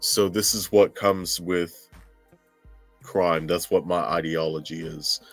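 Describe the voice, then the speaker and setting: A man with a very low voice talks at an unhurried pace over faint music. He hosts a casual true crime podcast, halting and thinking aloud as he goes. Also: fairly even intonation, gravelly. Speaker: podcast host.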